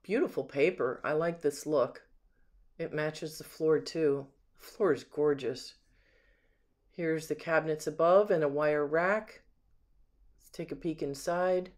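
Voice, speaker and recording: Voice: medium pitch, unhurried, a little mellow. A home sales agent giving a casual, unscripted walkthrough narration, admiring and describing things item by item, recorded on a handheld camera inside an empty house. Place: indoors, rooms of an empty house.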